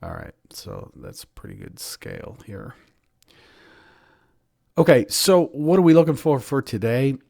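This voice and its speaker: A man, a professional trader and show host, giving daily market analysis in a hoarse voice, clearing his throat often. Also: mid-range voice, unhurried, pauses often, dry recording, clean studio sound. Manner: steady analytical talk with frequent throat clearing.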